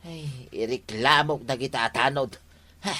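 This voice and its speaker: A man's voice: medium pitch, measured pace, bright, forceful tone. An actor with an Ilocano accent playing an aggrieved, protesting older village man in a radio comedy drama. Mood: hurt, complaining. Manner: plaintive, protesting.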